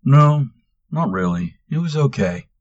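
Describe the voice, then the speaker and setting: A man voicing a sulky young man who keeps insisting on his name, with a lively, insistent delivery. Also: mid-range voice, unhurried, muffled recording, voice pushed up. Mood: sulky, weary. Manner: exasperated, insistent.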